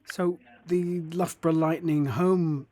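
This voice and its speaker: Halting male interviewer, slightly high voice. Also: fairly even intonation, dark tone. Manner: halting, tentative questioning. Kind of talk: conversational sports interview.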